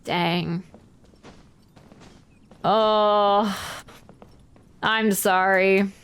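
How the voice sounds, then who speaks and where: A woman's voice: mid-range voice, fairly even intonation, very slow, clear, resonant tone. A woman livestreaming a game, chatting casually in a hesitant, word-repeating way and reading game text aloud. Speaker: game streamer.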